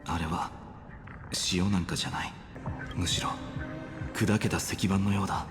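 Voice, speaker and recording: An actor voicing a somber, brooding young hero in an anime game, with a hushed, grave delivery. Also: male voice, low voice, steady tone, quick pace, close to an everyday voice. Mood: grave, observing. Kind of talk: Japanese anime game voice acting. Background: game music.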